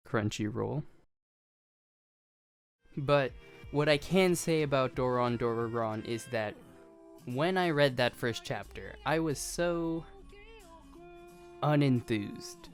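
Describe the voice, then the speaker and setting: Conversational anime video essayist over music. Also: mid-range voice. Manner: conversational with self-aware asides. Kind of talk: scripted commentary on anime. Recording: music behind the voice.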